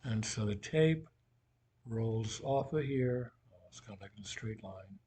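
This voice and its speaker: A man's voice: on the low side, animated, unhurried, dark tone, a little hiss or hum. A man teaching in an informal, conversational way, talking through a worked physics problem as if thinking aloud.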